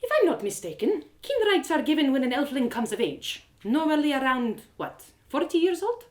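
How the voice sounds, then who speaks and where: Woman playing a chatty, knowing former thief, lively pitch. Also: moderate pace. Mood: probing. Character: frank, inquisitive former woman thief, hardened village storyteller.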